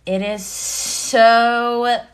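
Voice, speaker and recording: A woman's voice: on the high side, steady tone, very slow, smooth. A woman in her thirties hosting a casual live tarot stream, chatty, greeting viewers by name and laughing often. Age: 30s.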